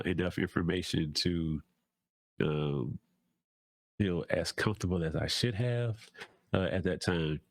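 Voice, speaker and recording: Host, male, stuffed-up nasal voice, very low and gravelly, dry close sound, loose rambling delivery. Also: dry recording. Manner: rambling, many fillers and restarts. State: congested, nasal from a cold. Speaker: podcast host. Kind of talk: casual solo talk.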